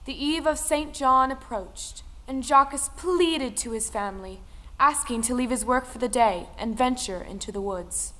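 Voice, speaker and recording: A woman's voice: a little high, normal speed, sharp tone, low-quality recording. An actress playing a female storyteller, giving dramatic narration of an old folktale. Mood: storytelling. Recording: music behind the voice. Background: music.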